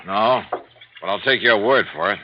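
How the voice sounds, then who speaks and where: A man's voice: on the low side, background noise, natural voice. A man playing a wry, skeptical detective. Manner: dry, quick-tempered, skeptical. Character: wry, brisk insurance investigator. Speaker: narrator and lead detective. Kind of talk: 1950s radio drama acting and narration. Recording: dull old radio recording with music.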